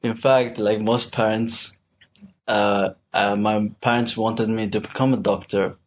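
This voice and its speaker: A young man from Switzerland co-hosting a casual student podcast, talking in a hesitant, halting way with many restarts. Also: fairly low pitch, fairly even intonation. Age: young adult. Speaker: podcast co-host, university student. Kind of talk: casual chat.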